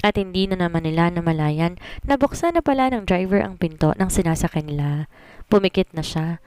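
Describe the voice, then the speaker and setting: Mababang boses ng babaeng tagakuwento. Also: female voice, lowish voice, expressive, fairly quick, a little mellow, smooth. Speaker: storyteller. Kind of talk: scripted romance story narration.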